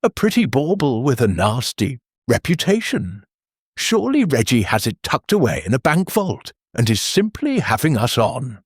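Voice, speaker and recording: A man's voice: mid-range voice, big pitch swings, moderate pace, slightly rough, no room sound, studio quality. A man voicing a theatrical, flippant society woman with nervous gaiety in an audiobook mystery. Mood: brittle gaiety. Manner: affected, teasing, theatrical.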